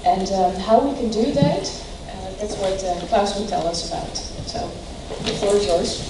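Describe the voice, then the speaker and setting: A woman's voice: mid-range voice, heavy background noise. Lady hosting, introducing the speaker, lots of ums, far from the mic in a big hall. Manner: halting, many fillers. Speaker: event host introducing speaker. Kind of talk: speech introduction. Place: large hall.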